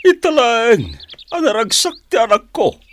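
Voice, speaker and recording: Radio drama: excitable old chief, fond father, high swooping voice, theatrical and unhurried. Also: full character voice. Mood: wistful fondness. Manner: theatrical, drawn-out, emphatic.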